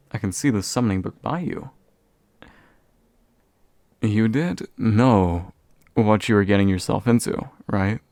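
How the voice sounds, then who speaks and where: A young man acting as a courteous, gentle demon of envy in a soft-spoken ASMR roleplay, formal and soothing with occasional hesitation. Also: lowish voice, no echo. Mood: puzzled, polite. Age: young adult. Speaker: voice actor.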